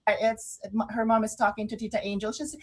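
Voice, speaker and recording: A woman with a Filipino accent chatting casually as a radio co-host, expressive, often halting and repeating words as she goes. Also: a little high. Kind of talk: casual chat.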